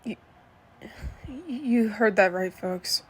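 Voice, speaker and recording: A woman's voice: medium pitch, slow pace, slightly rough. A woman playing a serious radio news reporter, giving a scripted report in a grave, matter-of-fact way. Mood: urgent.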